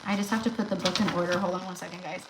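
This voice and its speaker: Chatty woman going a bit raspy. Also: on the low side, steady tone, racing, very noisy. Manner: chatty and rambling, talks straight to viewers. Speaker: tarot reader hosting a livestream. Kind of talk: casual livestream chat.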